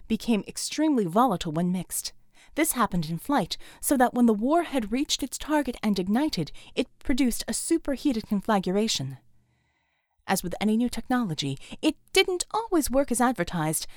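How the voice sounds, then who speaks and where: A woman's voice: fairly high pitch, darkish tone, no room sound, studio quality. A woman reading scripted action fiction as a dramatic audiobook narrator.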